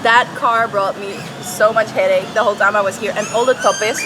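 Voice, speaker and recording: A woman's voice: medium pitch, fairly even intonation, fairly quick. A woman in her thirties, a guest telling stories animatedly with frequent laughs on an outdoor patio. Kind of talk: casual storytelling. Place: outdoor restaurant patio under a thatched roof. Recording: outdoor patio with traffic noise. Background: street and traffic noise.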